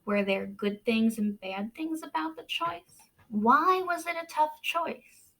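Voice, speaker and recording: A woman with a fairly high voice speaks very slowly, as a teacher does with young children. She is expressive, pauses for drama and puts questions to her listeners. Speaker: teacher reading a picture book aloud. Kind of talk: expressive read-aloud for children.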